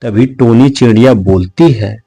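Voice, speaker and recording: A man's voice: mid-range voice, unhurried, dark tone, husky, dry recording. A man giving scripted narration for a children's animal story.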